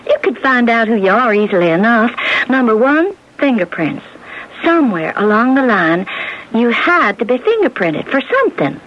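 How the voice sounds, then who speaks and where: A woman's voice: on the high side, big pitch swings, moderate pace, a little hiss or hum. A woman acting in a radio drama as a kind, shrewd American lunch counter owner, frank and down-to-earth. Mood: curious, probing. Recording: muffled old radio broadcast.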